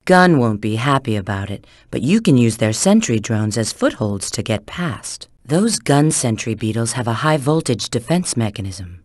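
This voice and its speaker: A woman's voice: rumbling low, big pitch swings, moderate pace, hardly any pauses, darkish tone, no echo, clean studio sound, heavily acted. An actress playing a sly, confident female spy in a video game, giving scripted hints in a cool, assured, instructive way.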